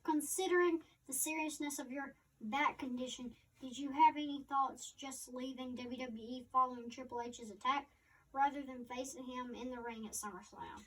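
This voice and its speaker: A woman co-host reading interview questions aloud in a halting way. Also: high voice, steady tone, fairly bright tone. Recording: distant microphone.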